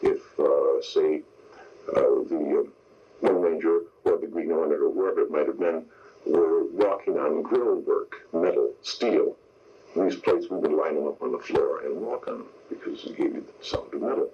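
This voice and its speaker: A man's voice: very deep voice, moderate pace, a little hiss or hum. An older man, a veteran radio sound effects man, explaining his craft step by step in an interview. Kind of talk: interview reminiscence. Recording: dull lo-fi radio broadcast tape.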